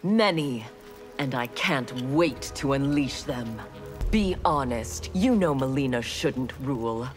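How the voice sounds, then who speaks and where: A woman's voice: extremely low pitch, animated, takes it slowly, soft background music, heavily acted. An actress voicing a cold, haughty armored female ninja cyborg in video game banter. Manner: haughty, menacing.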